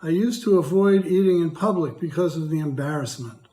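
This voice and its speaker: Retired older man, hoarse and dry-voiced, reads his testimony carefully; roomy echo. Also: fairly high pitch, a little fast, warm tone. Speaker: patient speaker. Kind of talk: prepared testimony read aloud.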